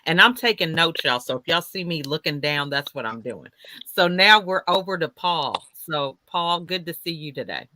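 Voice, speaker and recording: Bright-voiced man hosting a panel. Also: on the high side, expressive, moderate pace, slight background noise. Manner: encouraging, conversational, relates anecdotes. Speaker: panel moderator. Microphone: video call.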